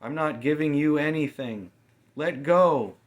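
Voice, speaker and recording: Man voicing a venomous, forceful young female thief. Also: a little high, a little slow, voice pushed up, natural voice. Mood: enraged. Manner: snapping, forceful threats. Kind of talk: audiobook character dialogue.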